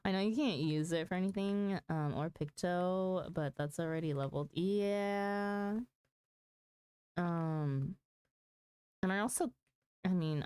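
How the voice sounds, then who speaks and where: Low-voiced woman streamer, slow and smooth. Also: dark tone, no room sound. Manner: hesitant, thinking aloud, mulling over choices. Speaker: game livestreamer. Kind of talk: casual livestream chat about gaming.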